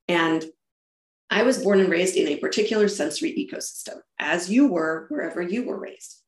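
A woman's voice: lowish voice, roomy sound. A woman from Germany, a founder talking through her event business in an interview, explaining with enthusiasm and frequent restarts. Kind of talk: conversational interview answers.

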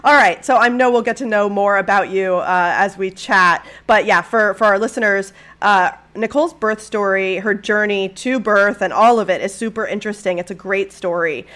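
A woman hosting a podcast, chatty and upbeat, clearing her throat and sniffling a little after an illness. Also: medium pitch, normal speed, hardly any pauses, a bit bright. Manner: upbeat, chatty, self-deprecating. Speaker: podcast host. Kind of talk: podcast interview and intro.

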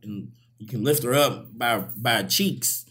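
A man's voice: medium pitch, unhurried, sharp tone. A man of thirty hosting a casual group conversation, joking and laughing often. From Louisville, Kentucky. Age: 30s. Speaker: host.

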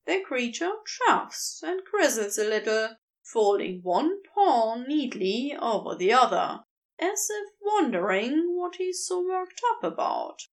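A woman reading a fantasy story aloud, with unhurried and expressive storytelling. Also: high voice. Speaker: podfic reader. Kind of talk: scripted story narration.

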